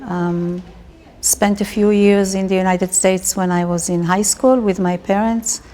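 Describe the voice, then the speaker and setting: A woman's voice: mid-range voice, fairly even intonation, unhurried, warm tone, slight background noise. A middle-aged woman from Israel with an Israeli accent, telling personal stories casually as an interviewee.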